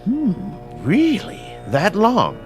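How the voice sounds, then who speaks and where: A man's voice: high-pitched, wildly swooping pitch, drawn-out words, faint music behind, heavily acted. A man acting in a radio drama as a genteel, fussy, unflappable caretaker with courteous, old-fashioned manners. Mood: mildly curious.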